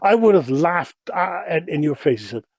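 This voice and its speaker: A middle-aged man from the Netherlands with a Dutch accent, a Dutch native speaker, telling stories in a casual, expressive interview. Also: on the high side, normal speed, creaky, no room sound, clean studio sound. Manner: expressive, animated, self-deprecating humor. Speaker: interview guest. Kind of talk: casual conversational storytelling.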